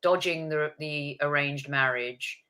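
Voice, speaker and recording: Woman, 50s, interview host, bright tone, long winding questions. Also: low-pitched, slow pace.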